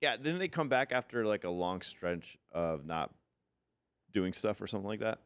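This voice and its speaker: A man co-hosting a casual podcast, joking around and counting down a music chart in an animated way. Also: fairly low pitch, normal speed, clean tone, dry recording. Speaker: podcast co-host.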